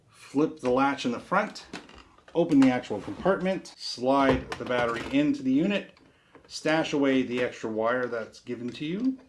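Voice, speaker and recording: A man's voice: mid-range voice, a little mellow, a little hiss or hum. A man giving a casual product demonstration, explaining step by step in a conversational, folksy way.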